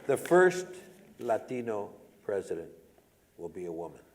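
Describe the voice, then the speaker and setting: A man's voice: lowish voice, very animated, measured pace. A man on a panel speaking in a playful, teasing way. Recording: echoey hall with loud crowd and noise.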